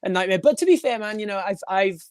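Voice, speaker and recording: A young man with a high voice, a band singer talking in a casual podcast interview, rambling with many restarts and little laughs. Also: animated, quick pace, a little hiss or hum. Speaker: guest, band frontman and vocalist.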